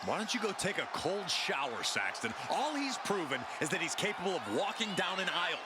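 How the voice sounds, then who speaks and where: A man's voice: high-pitched, sing-song, a little fast. A man doing wrestling commentary as a sarcastic, needling cocommentator. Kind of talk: play-by-play commentary. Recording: game commentary over loud arena noise.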